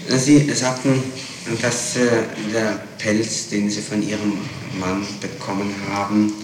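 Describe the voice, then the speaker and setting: A man questioning a witness as prosecutor in a formal interrogation, halting, methodical and insistent. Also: fairly low pitch, flat delivery. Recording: echoey, distant, noisy 1960s archive tape.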